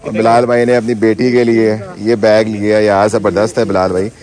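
A man's voice: on the low side, little pitch movement, normal speed. A young man chatting casually to viewers as a travel vlog host, speaking into a handheld phone camera. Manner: chatty, addresses viewers directly. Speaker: vlogger host. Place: busy night bazaar outdoors. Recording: crowd noise of a busy street market.

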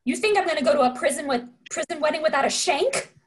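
A woman's voice: high-pitched, animated, fast, a little hiss or hum. A woman reading a sassy, scheming younger sister in a table read.

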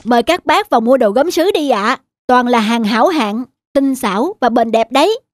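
A woman's voice: fairly high pitch, animated, normal speed, dry recording, close to an everyday voice. A woman voicing an earnest young man who sells pottery in a cartoon, humble and courteous. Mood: discouraged. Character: polite, earnest young male pottery seller. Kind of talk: cartoon dubbing.

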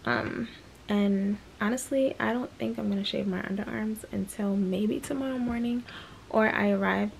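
A young woman chats straight into a close camera in her small bedroom, loose and candid, trailing off into fillers. Her mid-pitched voice carries a gravelly fry at the ends of lines. A faint hiss of room noise sits underneath. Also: steady tone, moderate pace, rough recording. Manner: chatty, candid, rambling with fillers. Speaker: vlogger. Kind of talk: casual vlog talk to camera. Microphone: camera close at arm's length.

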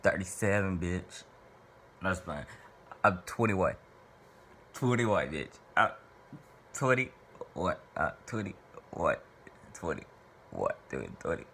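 A young man from Arkansas talks to his camera in a small bedroom, loose, rambling and profane. His voice sits very low yet comes across bright and forceful. A faint hiss of background noise sits under the close webcam sound. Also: sing-song, slow, long pauses. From Little Rock, Arkansas. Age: 20s. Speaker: vlogger answering fan questions. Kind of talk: casual Q&A monologue to camera.

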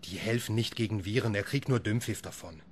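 An actor playing a troubled doctor and father who narrates his story in a radio drama, subdued and measured. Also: lowish voice, close to an everyday voice. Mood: calm, practical.

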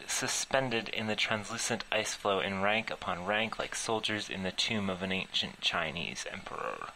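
Man, amateur audiobook narrator, forceful bright tone, gravelly fry, unpolished reading. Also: on the low side, slight background noise, poor audio. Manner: stumbles over words, laughs while reading. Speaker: author reading own story aloud.

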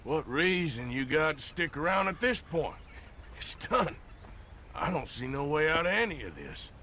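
An actor playing a gruff, resigned male outlaw in a video game. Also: a little high, sing-song, moderate pace, pushed and bright, a little hiss or hum, exaggerated voice. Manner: drawling, terse and gruff. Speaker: outlaw gang member.

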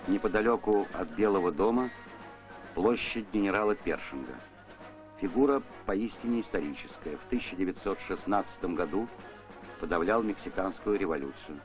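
A man's voice: deep voice, normal speed. A man delivering scripted documentary narration on an old film soundtrack. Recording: dull vintage film soundtrack with music. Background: music.

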